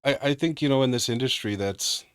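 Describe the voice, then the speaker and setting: A man answering interview questions in a measured, explanatory way. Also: mid-range voice, clean tone, dry recording, clean studio sound. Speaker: guest, cannabis grower.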